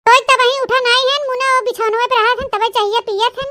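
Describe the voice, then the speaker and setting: Woman to play overworked Awadhi village sister-in-law, comedy cartoon: tiny pitched-up voice, extremely high and sharp, plaintive chatter. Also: fairly even intonation, fast. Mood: busy, chatty. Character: hardworking, put-upon village sister-in-law, placating yet complaining.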